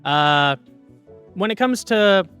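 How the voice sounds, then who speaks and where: A man with a fairly high, lively voice leads an informal workshop. His delivery swings widely in pitch and is conversational and emphatic, with light music behind him. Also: slow pace. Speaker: voice acting workshop presenter. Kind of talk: informal instructional presentation.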